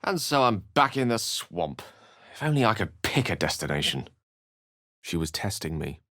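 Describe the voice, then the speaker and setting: A scholarly, melancholy wizard with a fairly low voice that rises and falls expressively. He speaks formally and theatrically, with courteous, wry phrasing suited to a fantasy video game. The recording is dry and close, free of room sound. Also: sing-song, sharp tone, no room sound. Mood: reflective. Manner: eloquent, formal, measured and dramatic. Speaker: video game companion character.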